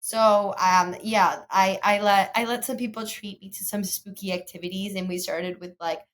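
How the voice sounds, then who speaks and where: A young woman talks easily as a podcast co-host, relaxed and quick to laugh. Her voice sits at a middle pitch, and she speaks in a casual conversational way. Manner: laid-back, laughs easily. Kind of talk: casual chat.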